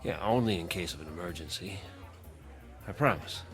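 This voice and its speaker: An actor playing an eager, harried young job seeker in a radio drama, polite and a little hesitant. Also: male voice, sits low, moderate pace, a bit bright, soft background music. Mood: pleading. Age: young adult.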